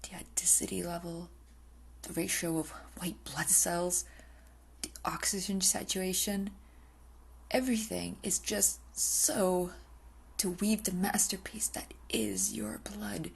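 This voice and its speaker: A woman's voice: lowish voice, expressive, a little slow, gravelly, breathy edge, full character voice. A woman playing an obsessive, possessive female vampire in an intimate ASMR roleplay, often dropping into whispers, hungry and coolly domineering. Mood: whispered fascination.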